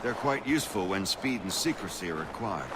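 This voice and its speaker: Actor as a low-voiced, wry rebel mentor. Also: male voice, expressive, fairly quick, heavy background noise, natural voice. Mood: instructive. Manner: wry, instructive. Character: affable, wry male colonial rebel mentor. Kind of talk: video game cutscene dialogue.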